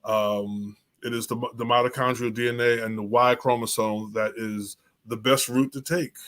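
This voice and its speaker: A man talking as a guest genealogist, measured and a little hesitant, with many fillers. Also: mid-range voice, little pitch movement, a bit bright. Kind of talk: casual conversation.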